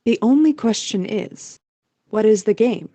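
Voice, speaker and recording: A woman's voice: fairly high pitch, measured pace, mellow, no echo. A woman narrating a scripted audio drama as its storyteller.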